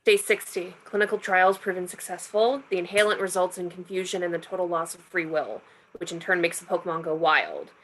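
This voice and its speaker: A woman's voice: lowish voice, brisk, a bit bright, slight background noise, close to an everyday voice, poor audio. A woman reading the part of an earnest lab scientist dictating experiment logs in a table reading. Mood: clinical. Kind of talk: online script table reading.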